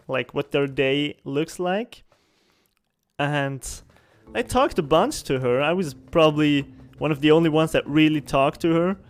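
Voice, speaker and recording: Chatty man with a higher voice. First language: German. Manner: rambling, self-correcting, chuckles often. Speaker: streamer. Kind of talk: casual chat while gaming on stream.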